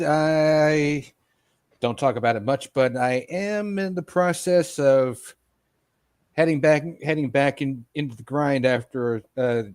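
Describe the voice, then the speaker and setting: A man on a livestream panel talking casually in a halting, understated way. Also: mid-range voice. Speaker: stream panelist. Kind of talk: casual chat.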